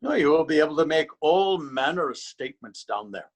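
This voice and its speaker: A man's voice: fairly high pitch, animated, a little fast. A man playing a proud, easily insulted police officer in a readers theater drama, stern and threatening. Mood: menacing. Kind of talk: readers theater stage acting.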